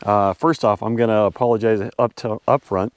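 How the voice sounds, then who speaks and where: A man's voice: lowish voice, fairly even intonation, normal speed, dry recording, studio quality. Congested man talking outdoors. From US Pacific Northwest. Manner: rambling, many fillers and restarts. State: congested and a little hoarse from a cold. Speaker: vlog host reviewing his truck. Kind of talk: casual talk to camera. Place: outdoors at a snowy off-road area.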